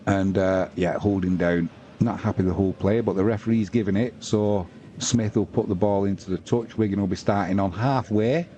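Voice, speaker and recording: Low, bright-toned but slightly muted male sports commentator. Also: steady tone, moderate pace, muffled recording, a little hiss or hum. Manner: informal, matey, chatty. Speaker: sports livestream commentator and host. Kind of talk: live rugby league play-by-play commentary with casual asides to chat.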